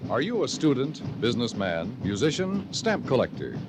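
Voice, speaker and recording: A man's voice: medium pitch, wildly swooping pitch, moderate pace. An American man reading scripted announcements in a formal, emphatic, polished 1950s radio style. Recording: vintage radio broadcast. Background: music.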